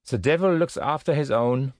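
A man's voice: medium pitch, moderate pace, no room sound, clean studio sound, voice pushed up, lightly acted. A man doing a keen, sardonic army corporal. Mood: wry.

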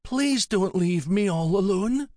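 Man doing a tender, high-pitched anxious young woman. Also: expressive, takes it slowly, a little mellow, no room sound, clean studio sound. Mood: pleading. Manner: pleading, emotional, earnest.

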